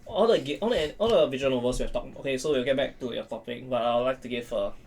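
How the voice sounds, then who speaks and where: A man's voice: medium pitch, fast talker, vocal fry, darkish tone, husky. A man chatting casually as a podcast guest, rambling and repeating himself, often tagging his phrases with "right". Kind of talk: casual chat.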